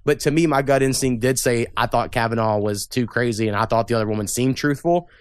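A young man hosts a laid-back podcast chat, giving blunt opinions with plenty of swearing. His voice is smooth and clear at a middle pitch. It is recorded dry and close to the mic. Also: fast talker, no room sound. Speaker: podcast host. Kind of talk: casual political chat.

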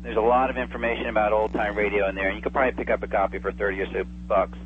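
A man's voice: lowish voice, fairly even intonation, very fast, slight background noise. Enthusiastic man on a tinny phone line. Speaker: radio show guest, old-time radio enthusiast. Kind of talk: casual radio interview chat. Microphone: telephone.

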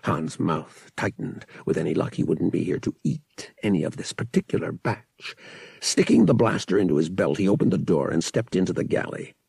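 Male narrator, heavily creaky, breathy rasp, animated pitch, dramatic audiobook reading. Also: moderate pace, no echo.

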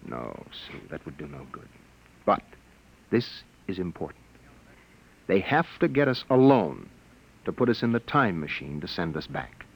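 An actor playing a protective, wary husband in a 1950s radio drama, earnest and tense on a vintage recording. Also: male voice, low-pitched, sing-song, unhurried, pauses often, slightly rough, heavily acted, poor audio. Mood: grave. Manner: soothing but tense, earnest.

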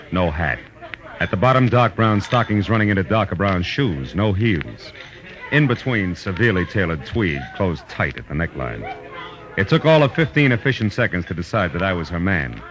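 An American actor playing a tough, wry private detective in clipped, laconic 1940s radio style. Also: male voice, sits low. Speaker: lead actor and narrator. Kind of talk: 1940s hard-boiled radio detective acting. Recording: muffled old radio recording, music behind.

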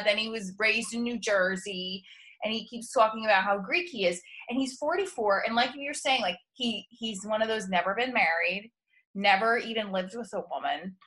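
A woman's voice: fairly high pitch, moderate pace, sharp tone. A woman hosting a casual chat show, talking frankly and rambling with many restarts. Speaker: host and comedian.

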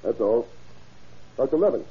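An actor playing a formal, methodical prosecutor questioning witnesses in an old-time radio courtroom drama. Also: male voice, mid-range voice, sing-song, normal speed, slightly rough. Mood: formal, probing. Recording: dull vintage radio recording.

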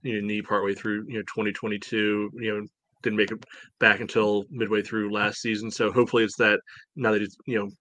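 A man's voice: on the low side, little pitch movement, fairly quick, bright, forceful tone. A man chatting informally and wryly as a panelist on a casual sports podcast.

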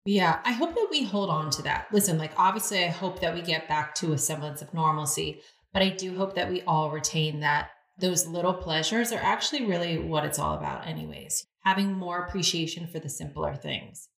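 A woman's voice: fairly low pitch, fairly quick, creaky, fairly bright tone. A woman hosting an interview podcast, affirming and conversational as she asks her guest questions. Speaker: podcast host. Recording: roomy echo.

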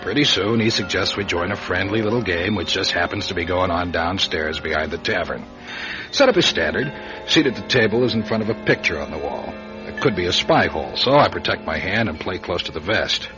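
Low-voiced wry hard-boiled gambler. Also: male voice, expressive, a little fast. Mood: dry narrating. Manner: dry, wisecracking, tough. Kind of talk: radio detective drama, first-person narration and dialogue. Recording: dull lo-fi radio show, music behind.

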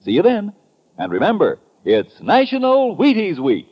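Vintage sponsor announcer: American male, medium pitch, very animated folksy sales read, muffled recording. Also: normal speed. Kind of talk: 1950s radio commercial read. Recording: dull vintage radio recording.

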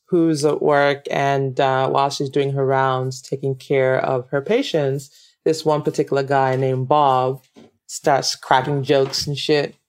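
Smooth-voiced Nigerian woman guest. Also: very deep voice, little pitch movement, a little slow. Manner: warm, reflective, laughs easily, many ums. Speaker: actress guest. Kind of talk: casual interview chat.

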